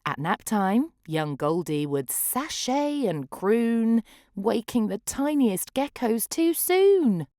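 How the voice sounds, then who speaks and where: A woman reads a children's picture book in rhyme, theatrical and rhythmic. Her pitch rises and falls widely and her pace is unhurried. The recording is very dry. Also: big pitch swings, mellow, no echo. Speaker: children's story reader. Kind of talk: expressive picture-book read-aloud.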